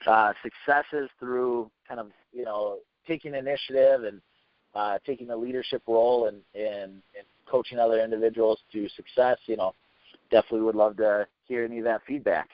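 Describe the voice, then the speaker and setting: A man hosting a business training call, giving an informal motivational talk with halting, repetitive, hesitant phrasing. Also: mid-range voice, steady tone, no room sound. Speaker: sales team leader hosting a training conference call. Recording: muffled conference phone call.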